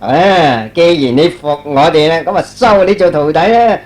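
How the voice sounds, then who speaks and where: A man's voice: on the high side, moderate pace, pitched below the performer's own voice, lightly acted. A man playing a mischievous, boastful monkey disciple in a Cantonese radio drama, teasing as he talks. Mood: boastful, amused.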